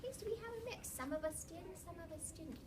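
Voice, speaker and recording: A woman's voice: very high voice, animated, very fast. A woman teacher reading aloud to young children, explaining as she goes and checking in with questions. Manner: instructive, checking understanding with questions and frequent "okay". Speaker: elementary school teacher. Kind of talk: interactive read-aloud to a class of young children. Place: classroom, recorded from across the room. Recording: distant mic, noisy classroom background.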